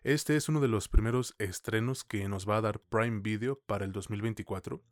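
A man's voice: on the low side, normal speed, dry recording, clean studio sound. A man with a Mexican accent co-hosting a film podcast, chatting casually in informal, slangy, mildly profane speech. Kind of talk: casual film review chat.

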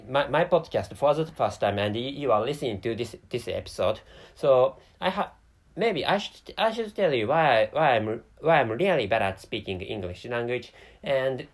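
Halting Japanese-accented man, lively pitch. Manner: halting, many restarts and self-corrections. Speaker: podcast host. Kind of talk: solo podcast monologue.

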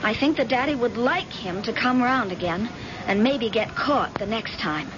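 Through heavy noise on a dull old radio recording comes an actress as a devoted, worried daughter. She is earnest and pleading, pressing her points in a fairly high voice, in the heightened manner of vintage radio drama. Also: animated, moderate pace, lightly acted. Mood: pleading, insistent. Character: anxious devoted grown daughter in a crime thriller.

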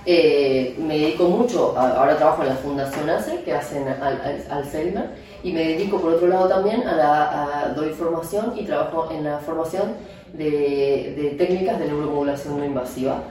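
Argentine-accented woman guest, explanatory, halting; echoey distant recording. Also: low voice, warm tone. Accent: Argentine Spanish. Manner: explanatory, many restarts and fillers. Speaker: neurologist guest. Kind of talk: interview answers. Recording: echoey room, distant mic.

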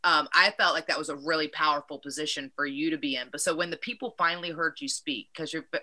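A woman's voice: sits low, steady tone, quick pace, bright, forceful tone. A woman from Virginia with a Southern accent, chatting casually on a podcast and often restarting her sentences. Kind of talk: casual chat.

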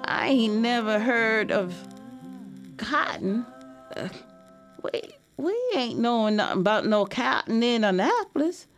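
A woman voicing a curious, tender young granddaughter, telling her story in hushed, wondering dialect. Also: a little high, expressive, unhurried, faint music behind, voice pushed up. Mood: curious, puzzled. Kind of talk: dramatic audio storytelling.

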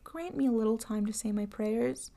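A woman voicing a terrified young wife in a storybook tale, pleading in a breathless, halting, urgent way. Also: a little high, vocal fry, warm tone. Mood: frightened.

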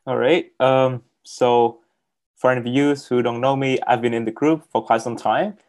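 A young man who speaks English as a second language, telling his story as a guest on a video call, halting and repeating words with many fillers. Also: medium pitch, fairly even intonation, darkish tone. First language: not English. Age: young adult. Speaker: interview guest. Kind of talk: casual interview on a video call.

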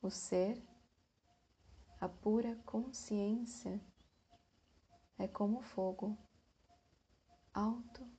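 A woman leads a guided meditation in a soft, breathy voice, speaking in short phrases with long pauses. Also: medium pitch, fairly even intonation.

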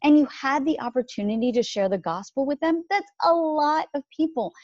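A woman as a podcast guest, talking about her faith in a lively way and jumping up in pitch when she quotes others. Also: brisk, no room sound, muffled recording. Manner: animated, jumps pitch when quoting others. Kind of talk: faith-based podcast conversation.

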